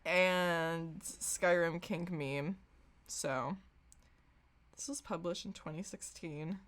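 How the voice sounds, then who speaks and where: A woman's voice: fairly low pitch, steady tone, takes it slowly, a bit bright. A woman co-hosting a podcast, chatting casually and reading comedic stories in an informal, slangy, amused way. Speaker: podcast co-host.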